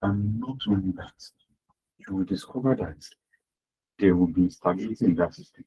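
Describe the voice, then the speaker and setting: A deep-voiced man with a husky edge teaches accounting over an online call. He repeats his points and asks the class rhetorical questions. The sound is dull and lo-fi. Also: moderate pace. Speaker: accounting lecturer. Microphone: online class audio.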